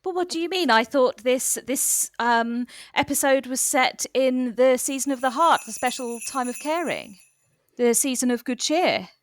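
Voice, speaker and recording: A woman's voice: sits high, lively intonation, normal speed, dry recording. A woman playing a cheery, blithely reassuring character full of festive goodwill in a comic audio drama. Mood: earnest.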